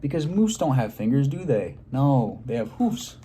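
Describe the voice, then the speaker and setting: A man reading a children's story aloud expressively, pausing to put questions to his listeners. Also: medium pitch, big pitch swings, dark tone, slight background noise.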